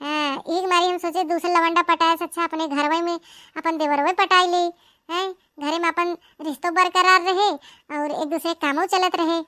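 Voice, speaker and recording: A shrill, flirty village sister-in-law. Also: female voice, fairly even intonation, normal speed, sharp tone, no echo, studio quality. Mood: scheming. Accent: Awadhi. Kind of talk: cartoon comedy acting in rural dialect.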